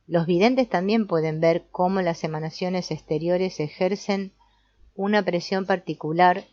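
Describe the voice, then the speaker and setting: Low-voiced woman narrating, dull sound. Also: darkish tone, no echo. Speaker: audiobook narrator. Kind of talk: scripted audiobook narration.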